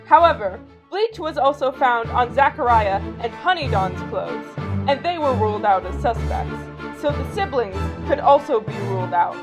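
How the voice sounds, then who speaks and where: A woman's voice: fairly high pitch, lively intonation, normal speed, hardly any pauses. A woman reading scripted true crime narration in a matter-of-fact storytelling way. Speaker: narrator and host of a mock true crime series. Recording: music behind the voice. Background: background music.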